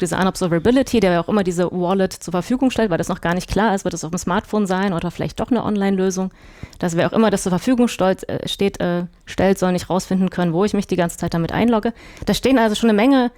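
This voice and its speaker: A woman's voice: mid-range voice, a little mellow, no room sound, clean studio sound. A woman from Berlin, a guest on a talk podcast, explaining technical matters at length with frequent fillers. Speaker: expert guest. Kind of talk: podcast discussion.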